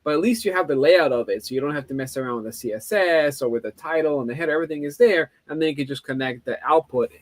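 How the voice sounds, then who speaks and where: A man hosting a video call, eagerly giving quick explanations and short encouragements. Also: on the high side, no echo. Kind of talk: casual video call discussion.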